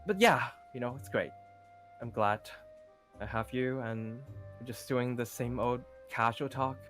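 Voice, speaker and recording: A man's voice: mid-range voice, a bit bright, lightly acted. A man acts out an earnest young man who is lovesick and supportive of his friend. He rambles casually, circling back and repeating himself in an improvised roleplay. Music plays behind him. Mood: grateful, warm. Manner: halting, rambling, repeats himself, often says "you know". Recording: background music under the voice.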